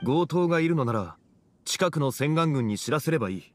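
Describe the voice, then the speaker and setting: A man playing a composed, wise young gentleman in an anime game, speaking in a formal, measured way. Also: on the high side, lively intonation, close to an everyday voice. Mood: calm, courteous. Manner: formal, measured, archaic phrasing.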